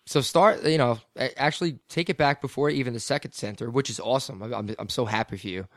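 A man's voice: medium pitch, fast talker, dry recording, studio quality. A man hosting a podcast in casual chat, laid-back and a bit mumbly, laughing often. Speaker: podcast host.

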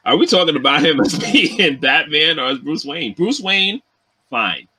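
A man's voice: fairly high pitch, sing-song, normal speed, pushed and bright, a little hiss or hum. A man co-hosting a podcast, bantering casually in an argumentative, teasing way. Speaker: podcast co-host.

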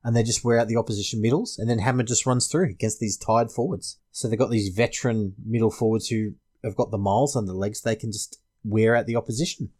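Low-voiced Australian man hosting a sports podcast. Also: steady tone, quick pace, hardly any pauses, darkish tone. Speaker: podcast host. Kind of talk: casual fantasy sports panel talk.

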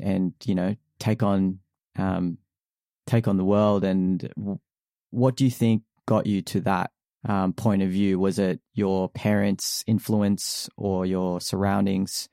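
A man with a fairly low voice, hosting an interview. He asks his questions haltingly, full of ums and restarts. The sound is dry and close, with almost no room. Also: level tone, gravelly, dark tone, no room sound. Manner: friendly, halting questions with many fillers. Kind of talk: casual interview.

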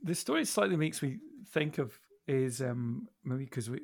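A man in a reflective Bible discussion, speaking in a measured, deliberate way with pauses. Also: medium pitch, big pitch swings, gravelly. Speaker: podcast panellist.

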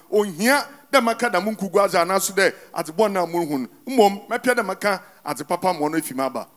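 A male interpreter with a high-pitched voice repeats the preacher's sermon line by line. He speaks right after each phrase. The sound comes from a church service.

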